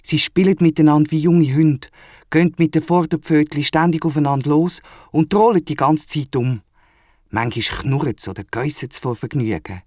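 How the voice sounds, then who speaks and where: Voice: mid-range voice, moderate pace, a little mellow, no room sound. Swiss German children's storyteller, dry studio sound. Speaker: narrator of a children's audio story. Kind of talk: scripted story narration.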